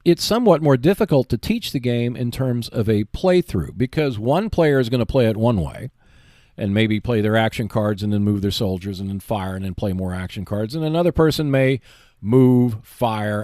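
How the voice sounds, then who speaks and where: A man talks as the host of a tabletop gaming show, with a pitch that moves up and down a great deal. He explains tips step by step, stressing points and reassuring the listener. The sound is close and dry, with a medium-pitched voice. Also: animated, normal speed, mellow, no echo. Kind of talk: instructional tips talk on board wargaming.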